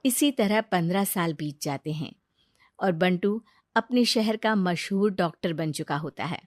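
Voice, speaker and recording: A woman's voice: lowish voice, a little slow, dry recording, clean studio sound. A woman narrating a scripted moral story for a cartoon.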